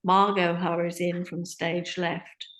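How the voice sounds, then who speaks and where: A woman narrates stage directions from a play script. Her voice is on the low side and she takes her time over each phrase. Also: little pitch movement, slow, fairly bright tone.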